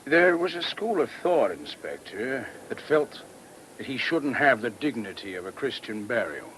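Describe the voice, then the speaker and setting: A faintly noisy old radio drama recording carries an easygoing army major. He is a cynical, unrepentant man of the world who talks in wry, folksy phrases at a middling pitch. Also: sing-song, normal speed, a bit bright. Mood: wry, unhurried. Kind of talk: radio play acting.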